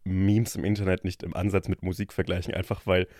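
A man's voice: deep voice, little pitch movement, fairly quick, darkish tone, dry recording. A young man from Franconia, a guest on a podcast, chatting casually with dry, self-deprecating humor and many restarts. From Franconia, Germany. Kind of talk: casual chat.

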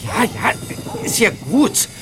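A man's voice: high voice, huge pitch swings, slow pace, very noisy, exaggerated voice. A man playing a hesitant, worried teenage detective in an audio drama. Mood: frightened. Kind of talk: German audio drama acting.

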